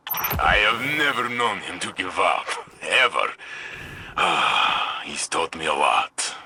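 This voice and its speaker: A proud game warrior with a very low, ringing voice. He speaks slowly and bluntly, with broad, sweeping intonation and a hearty, heroic bearing. Music plays behind him. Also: lively intonation, creaky, sharp tone, a little hiss or hum, heavily acted. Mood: reflective. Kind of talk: video game voice acting.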